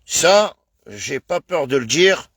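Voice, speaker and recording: A man from France speaks on a live stream with a fairly high voice and a slow, deliberate pace. He talks emphatically and repeats himself often. The sound is dry and close, with no room around it. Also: animated, a bit bright, breathy edge, no room sound. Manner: emphatic, repetitive, addresses viewers as brother. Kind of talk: casual live-stream rant.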